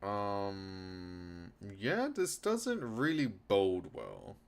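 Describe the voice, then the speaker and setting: A man's voice: on the low side, huge pitch swings, very slow. A man streaming a game, switching between reading story narration aloud and commenting casually. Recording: clear background noise, rainy ambience. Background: noise, rain during a stream.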